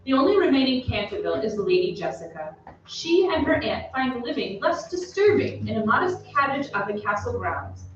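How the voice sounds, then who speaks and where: A woman's voice: fairly high pitch, very animated, normal speed. A woman reading scripted storytelling narration for an old-time style radio play. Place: theatre stage. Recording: echoey stage, distant mic, dull, faint noise.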